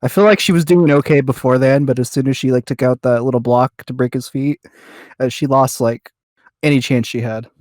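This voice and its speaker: A person talks casually with a hesitant, halting delivery. The voice is recorded in a small closet, so it sounds dry with almost no echo. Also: medium pitch, fairly even intonation, darkish tone. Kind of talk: casual chat.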